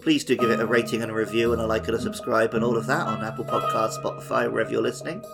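Gravelly-voiced man chatting over guitar music. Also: mid-range voice, steady tone, racing. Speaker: podcast host. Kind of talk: casual podcast chat. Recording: music behind the voice. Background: plucked guitar music.

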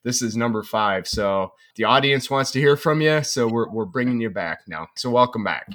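A man's voice: medium pitch, animated, normal speed, a bit bright. A man hosting a podcast who talks in long, rambling runs, repeats words and fills the gaps with "you know". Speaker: podcast host. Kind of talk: casual interview talk.

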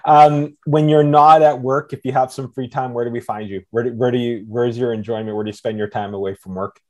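A man's voice: mid-range voice, fairly even intonation, brisk, darkish tone. A man hosting a casual business interview, asking questions in a friendly way with short encouraging replies. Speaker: host.

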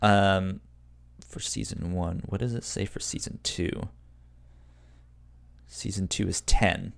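A man's voice: deep voice, fairly even intonation, takes it slowly, creaky. Casual man hosting a podcast. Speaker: podcast host.

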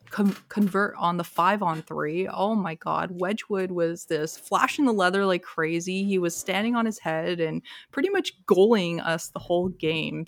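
A woman's voice: mid-range voice, no room sound. A woman with a mid-range voice co-hosts a hockey podcast, eager and chatty with frequent laughter. Her voice sounds dry and close to the mic. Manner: enthusiastic, laughs often, fan perspective. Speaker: podcast co-host. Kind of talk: casual sports podcast chat.

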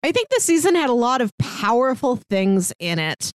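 A woman's voice: on the high side, very animated, normal speed, smooth, no room sound. Lady chatting about tv on a podcast, very up and down voice, dry recording. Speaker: podcast co-host. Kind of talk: casual TV show discussion.

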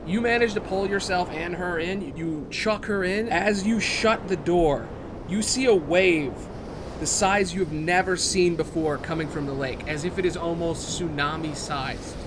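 Male game master, slightly high register, improvised and descriptive tabletop storytelling with a dramatic touch. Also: a little slow, noisy background. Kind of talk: improvised tabletop fantasy narration.

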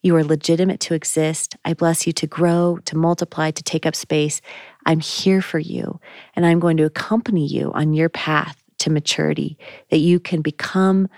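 Low-pitched woman co-host, reflective and searching, dry studio sound. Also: steady tone, normal speed, darkish tone, no echo. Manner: thoughtful, searching, with frequent ums. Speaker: podcast co-host. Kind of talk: reflective faith conversation.

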